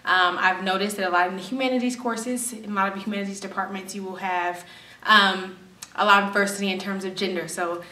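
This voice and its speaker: A young woman gives her opinion casually as a student interviewee. Her voice is bright and medium in pitch. It is captured in an echoey room from a little distance. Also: steady tone, a little fast. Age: young adult. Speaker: college student.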